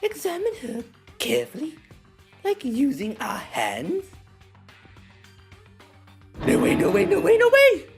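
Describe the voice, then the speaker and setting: A woman voicing an excitable, dramatic teenage character in an anime game fan dub. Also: sits high, slow, gravelly, long pauses, faint music behind, heavily acted. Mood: squeamish panic.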